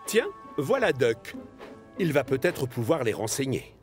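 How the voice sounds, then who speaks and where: A man narrating a children's cartoon as an expressive storyteller. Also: medium pitch, unhurried, soft background music.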